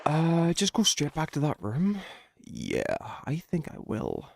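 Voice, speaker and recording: A man's voice: fairly high pitch, huge pitch swings, slow, vocal fry, slight background noise. A man live streaming a video game with casual, slangy commentary full of swearing.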